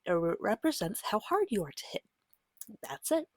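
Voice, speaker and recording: A woman speaking softly and breathily, often near a whisper, gently and patiently guiding a beginner as game master in an ASMR roleplay. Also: medium pitch, big pitch swings, no echo, clean studio sound. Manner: gentle, patient, reassuring, unhurried. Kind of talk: soft-spoken ASMR roleplay tutorial.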